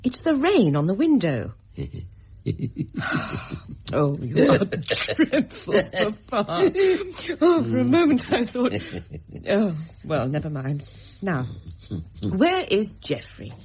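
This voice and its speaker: A woman's voice: mid-range voice, huge pitch swings, slow pace, pauses often, darkish tone, a little hiss or hum. A woman playing a genteel, anxious widowed mother in a radio mystery drama, with a polite, refined, fretful manner. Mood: anxious, chiding.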